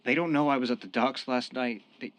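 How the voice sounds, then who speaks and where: A man's voice: mid-range voice, a little fast, a bit bright, lightly acted. An actor in a radio drama playing a young former gangster, repentant and devoted to his sweetheart. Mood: earnest. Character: young ex-mob tough, repentant, devoted expectant father.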